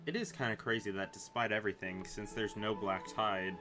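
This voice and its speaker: A man streaming a game, giving casual, halting, informal reactions. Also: fairly low pitch, steady tone. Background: game music.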